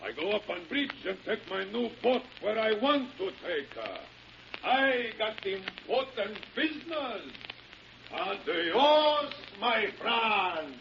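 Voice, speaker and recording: Swaggering Spanish-accented pirate captain. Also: male voice, sits high, big pitch swings, takes it slowly, vocal fry, fairly bright tone, exaggerated voice. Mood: cold gloating. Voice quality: broken English, cackling laugh. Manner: swaggering, menacing. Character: gloating, sadistic fat male pirate captain. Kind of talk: old-time radio drama acting. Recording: muffled, noisy vintage radio recording.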